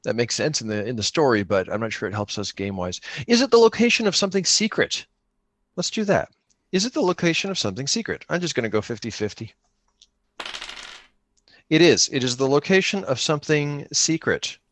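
A man improvising his way through a tabletop game in casual chat, thinking out loud as he plays. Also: medium pitch, animated, a little fast, dry recording. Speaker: podcast player improvising a solo tabletop game.

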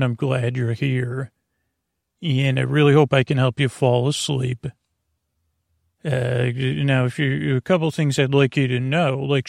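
A man with a creaky voice hosting a bedtime podcast, meandering and halting through a soothing monologue full of tangents and self-corrections. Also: mid-range voice, dry recording, clean studio sound. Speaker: podcast host. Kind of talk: rambling bedtime monologue meant to lull listeners to sleep.

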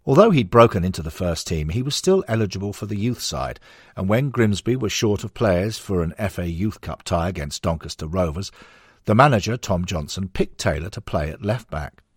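A man's voice: sits low, animated, normal speed, breathy edge, no echo, clean studio sound. A man narrating a non-fiction sports history book in a scripted audiobook reading.

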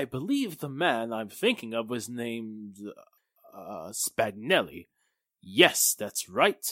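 An actor playing an excitable, evasive businessman suspect in a 1940s-style radio drama, with an emphatic, theatrical delivery. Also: mid-range voice, no room sound, clean studio sound, full character voice. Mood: evasive, faltering. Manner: theatrical, emphatic, faltering when challenged.

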